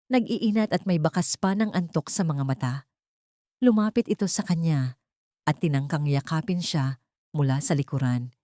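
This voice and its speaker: A woman's voice: lowish voice, dark tone, no echo, studio quality. A woman narrating a scripted audio story in a dramatic storytelling style.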